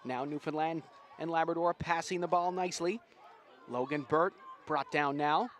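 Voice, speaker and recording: A man doing live, excitable play-by-play commentary on a rugby sevens match. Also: fairly high pitch, normal speed, some chatter behind. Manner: excitable, builds to shouts at big plays.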